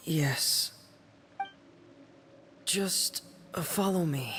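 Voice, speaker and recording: A woman voicing a shy, gentle, withdrawn young teenage boy in an anime game, halting and soft-spoken. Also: low-pitched, a little hiss or hum, heavily acted. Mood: reluctant. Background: music.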